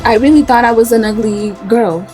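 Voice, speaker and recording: A woman in her twenties who grew up in Portugal, speaking softly and reflectively as a guest in a candid interview. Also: a little high, brisk, soft background music. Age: 20s. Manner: soft-spoken, reflective, with frequent fillers.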